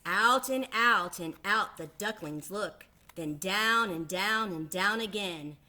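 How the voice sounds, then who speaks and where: A woman's voice: mid-range voice, slow pace, bright, forceful tone. A woman reading a children's book aloud, as a teacher would to a class. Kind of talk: children's storybook read-aloud.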